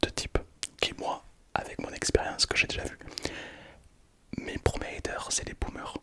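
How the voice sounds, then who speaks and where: A man's voice: moderate pace, a bit bright. A young man whispering playfully through an ASMR video, reading comments aloud in casual slang and laughing often. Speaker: ASMR content creator.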